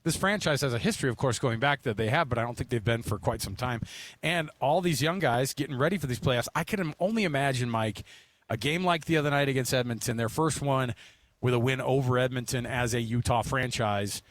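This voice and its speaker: A man's voice: medium pitch, a little fast, a bit bright, no room sound. An American man hosting a sports radio show in a casual, chatty style. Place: outdoors under promotional tents on a plaza.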